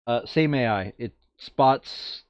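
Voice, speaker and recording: A man's voice: medium pitch, slow pace, no echo, lo-fi sound. A man playing a board game casually, reading out rules and thinking aloud as he narrates the moves. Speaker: board game player on a playthrough show. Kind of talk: casual tabletop game play commentary.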